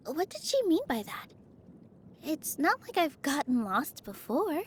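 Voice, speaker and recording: An actress voicing a gentle, cheerful young woman dancer in a video game, speaking in a sweet, earnest, easily flustered manner. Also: sits high, big pitch swings, measured pace, a little hiss or hum. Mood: cheerful, musing. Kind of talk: video game character voice lines.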